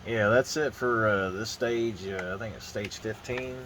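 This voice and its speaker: Casual hobby vlogger, low-voiced man, congested and a bit nasal, meandering delivery recorded on a phone. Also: unhurried, background noise. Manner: rambling, relaxed, frequent uh and restarts. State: stuffy nose from a sinus infection. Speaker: model-building vlogger. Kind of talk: casual hands-on build commentary. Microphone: phone on a holder arm.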